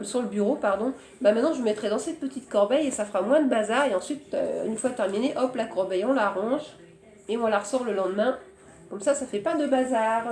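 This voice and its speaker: A hoarse woman chatting casually about her shopping haul and crafts, often pausing to search for words. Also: a little high, darkish tone, roomy sound. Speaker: crafting vlogger.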